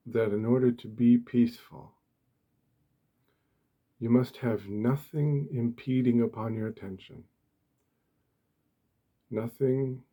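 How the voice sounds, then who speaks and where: Slow, soft-spoken man with husky low voice. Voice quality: soft, hushed, breathy. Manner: gentle, deliberate, short phrases with pauses. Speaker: ASMR host. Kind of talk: soft-spoken ASMR meditation talk.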